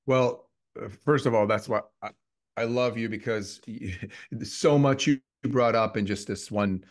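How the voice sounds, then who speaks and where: Man, 50s, from Switzerland, podcast co-host, thoughtful, halting, self-correcting, mid-pitched voice. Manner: reflective, restarts often, lots of fillers. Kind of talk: casual conversational podcast.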